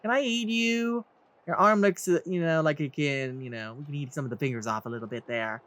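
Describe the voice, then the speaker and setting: A man's voice: a little high, brisk, a bit bright, natural voice. A man voicing a starving, pleading, darkly comic cave tribesman in a theatrical read-aloud of game text. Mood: whiny, hungry.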